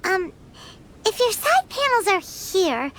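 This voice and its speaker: A woman voicing a tiny, childlike fairy companion in a video game, squeaky, cutesy, cheerful and earnest. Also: very animated, takes it slowly, heavily acted. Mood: awkward, reassuring. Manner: cutesy, refers to self in third person. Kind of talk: scripted video game character dialogue. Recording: loud game music behind.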